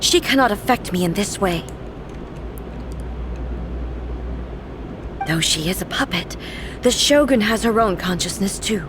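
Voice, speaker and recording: A woman's voice: mid-range voice, expressive, over music, natural voice. Woman playing a solemn regal warrior goddess. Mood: grave, explaining. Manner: formal, measured, grave. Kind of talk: video game voice acting. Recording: game audio with noise behind.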